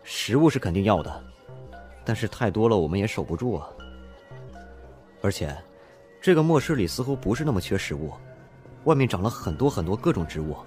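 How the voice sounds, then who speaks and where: A man's voice: fairly low pitch, sing-song, brisk, pauses often, darkish tone, soft background music. An actor playing an anxious, wary young male student surviving a disaster in an audio drama.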